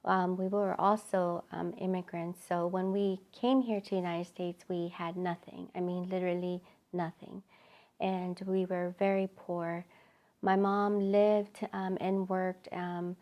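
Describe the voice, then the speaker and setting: A middle-aged woman from El Salvador recounts her childhood in a low, gravelly voice. She speaks in a halting, reflective way, pausing often and filling gaps with soft hesitations, and her pitch stays flat. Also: highs cut off. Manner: halting, reflective, many pauses and fillers. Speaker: ministry founder. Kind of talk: personal testimony.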